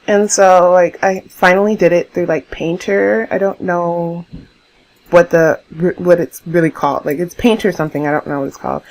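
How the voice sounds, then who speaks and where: A young woman talking casually over her game build in a rambling, chatty way, laughing often, her voice hoarse. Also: on the low side, steady tone, normal speed, a little mellow, rough recording. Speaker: YouTuber narrating a game build.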